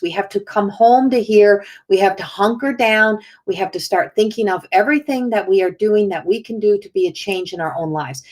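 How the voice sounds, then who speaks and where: A woman's voice: mid-range voice, darkish tone. A woman with a strained, failing voice, chatting casually on a livestream as an oracle card reader and spiritual healer, greeting viewers by name.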